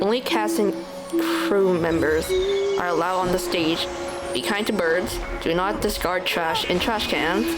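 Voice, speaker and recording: A woman's voice: fairly low pitch, low-quality recording. A woman streamer casually commenting on a game and reading its text aloud. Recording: loud game music behind the voice.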